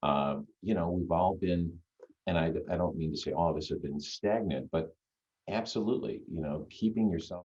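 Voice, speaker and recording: A man with a deep, full radio voice, chatting as a guest on a video call in an easygoing, rambling way. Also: normal speed, warm tone. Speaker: guest magician. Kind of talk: casual chat on a video call. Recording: dull lo-fi video-call audio.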